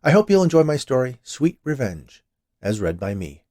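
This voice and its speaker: A man reading his own short story as scripted narration, with an expressive, theatrical delivery. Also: mid-range voice, normal speed, dry recording, studio quality.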